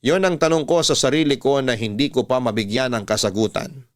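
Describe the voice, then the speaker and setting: A man delivers scripted first-person narration in a radio drama style. His voice sits in the middle range and is very clear and resonant. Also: medium pitch, brisk. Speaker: storyteller, narrator.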